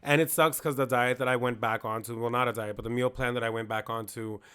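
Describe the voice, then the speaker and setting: A hoarse man co-hosting a podcast, chatting candidly about his week and quoting himself in asides. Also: mid-range voice, steady tone, fast talker, a bit bright. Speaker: podcast co-host. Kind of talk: casual chat.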